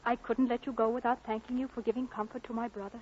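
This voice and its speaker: Eager village girl, played by a woman, fast husky delivery, vintage radio drama, slightly dull sound. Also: on the high side, little pitch movement, darkish tone, a little hiss or hum, lightly acted. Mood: shy, grateful. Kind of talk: 1940s radio acting. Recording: dull old radio broadcast.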